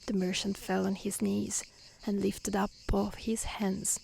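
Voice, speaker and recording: A woman reading a storybook aloud in a soft, breathy, half-whispered ASMR style, gently and carefully. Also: medium pitch, level tone, measured pace. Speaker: narrator reading a fairy tale. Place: outdoors in nature. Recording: faint outdoor insect noise. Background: crickets and insect ambience.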